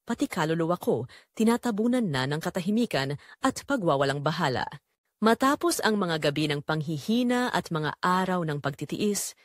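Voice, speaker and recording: A woman's voice: fairly low pitch, no room sound, studio quality. A woman playing a dying, long-suffering mother, narrating her own audio drama in a solemn, pleading, tender way. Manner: solemn, sorrowful, pleading storytelling.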